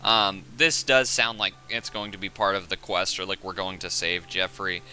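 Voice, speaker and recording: A man giving casual, unscripted commentary as the host of a game footage breakdown, excitable and often correcting himself. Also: sits low, normal speed.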